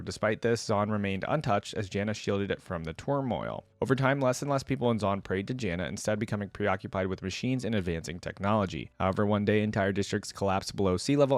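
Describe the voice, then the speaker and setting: Low-voiced man, casual reaction creator. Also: no echo. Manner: enthusiastic, slangy, chatty asides to viewers. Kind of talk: casual reaction commentary, reading aloud.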